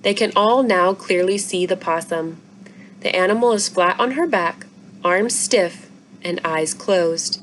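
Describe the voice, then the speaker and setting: A woman reading a children's book aloud in an expressive story-time style. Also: on the low side, unhurried. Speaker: library story-time reader. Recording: noisy room recording.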